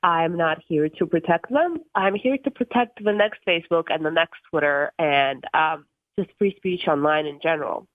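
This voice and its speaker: A young woman from Russia in her twenties speaks earnestly as a radio guest, her voice sitting a little low and often pausing on ums and uhs. She comes through a tinny phone line with a dry, close sound. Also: dry recording. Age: 20s. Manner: earnest, many ums and uhs, occasional laughs. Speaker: guest from a tech policy group.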